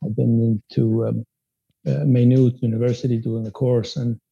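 A man whose first language is Spanish, raised in Sweden, talks in a very dark, mellow voice with a husky edge. He is hesitant and restarts often as he answers interview questions. The podcast audio is dull and dry. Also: mid-range voice, flat delivery, normal speed. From Spanish-speaking country, raised in Sweden. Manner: hesitant, many restarts and fillers. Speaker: guest. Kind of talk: casual interview.

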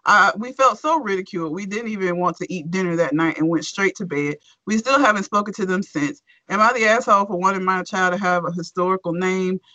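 A woman's voice: on the low side, no room sound, rough recording. A woman co-hosting a talk show, reading a forum post aloud and then ranting with lots of swearing. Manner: expressive, swearing, animated rant. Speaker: podcast co-host.

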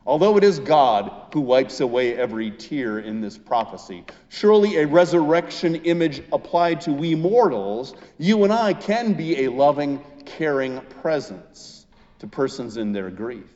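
Slow-paced male pastor in an echoing hall. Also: medium pitch, darkish tone. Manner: measured, emphatic phrasing with deliberate pauses. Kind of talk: Easter sermon, reading and expounding scripture. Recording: muffled, reverberant church hall sound.

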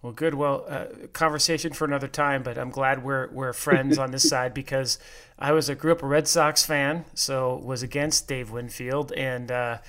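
A man's voice: mid-range voice, steady tone, normal speed, few pauses, a bit bright, smooth. A man hosts a business podcast in an upbeat, conversational way. His voice sits in an ordinary middle range. He moves between reading an introduction and chatting freely with his guest. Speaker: podcast host. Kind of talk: podcast interview.

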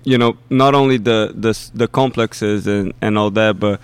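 A man's voice: fairly low pitch, fairly even intonation, normal speed, smooth, dry recording. A man, a youth soccer coach, talking casually on a podcast and often repeating himself as he thinks aloud.

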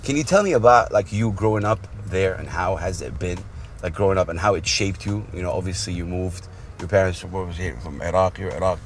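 Effusive, low-voiced male show host. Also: a little hiss or hum. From Saudi Arabia. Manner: effusive, halting with repeated phrases. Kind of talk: in-car interview, casual chat. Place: inside a car.

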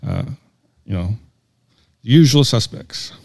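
A man's voice: lowish voice, huge pitch swings, slow, gravelly, a little mellow, no echo, clean studio sound. A man co-hosting a podcast in casual political chat, teasing and laughing often. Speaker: podcast co-host.

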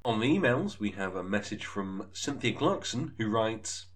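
A man hosting a comedy podcast in a mock-serious, deadpan announcer style. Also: on the low side, moderate pace. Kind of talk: mock-serious sketch presenting.

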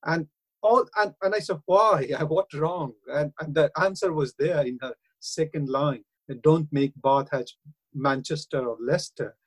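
A middle-aged man with an Indian accent, speaking earnestly and haltingly in a radio interview, with frequent hesitations. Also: fairly high pitch, breathy edge, low-quality recording. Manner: earnest, hesitant, many uh fillers. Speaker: councillor and university lecturer.

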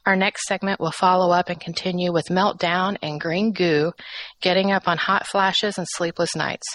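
A woman's voice: lowish voice, fairly even intonation, moderate pace, fairly bright tone, no room sound, low-quality recording. A woman radio host thanking her guest and announcing the next show in a polite, gracious way.

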